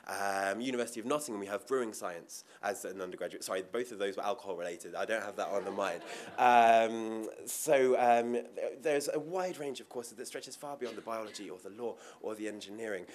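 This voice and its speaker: A young man giving a conference presentation at a podium, informal, with frequent ums and quick asides. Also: fairly low pitch. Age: young adult. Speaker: university international officer. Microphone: podium mic.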